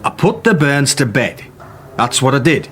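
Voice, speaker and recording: A man's voice: medium pitch, big pitch swings, normal speed, slight background noise, lightly acted. An actor playing a cocky, crude male suspect answering police questions defensively. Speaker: suspect under interview. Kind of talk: radio drama acting.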